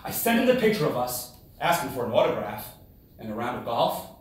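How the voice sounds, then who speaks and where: Animated man storytelling in a very echoey room. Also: medium pitch. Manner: theatrical, dramatic pauses and exclamations. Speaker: contest speaker. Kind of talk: rehearsed humorous storytelling speech. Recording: very echoey room, distant microphone.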